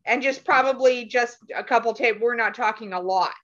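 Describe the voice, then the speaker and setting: Older woman, high sharp voice, casual questions, home webcam, lo-fi call audio. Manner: asks questions with casual pauses. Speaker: class participant. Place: home room, indoors. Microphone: webcam on video call. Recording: dull lo-fi video call audio.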